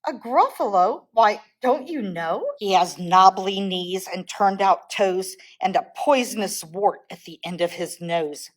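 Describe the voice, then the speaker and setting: A woman's voice: medium pitch, a little slow, few pauses, close to an everyday voice, poor audio. A woman voicing a plucky, sly little mouse in a children's storybook, polite, confident and teasing. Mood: boastful.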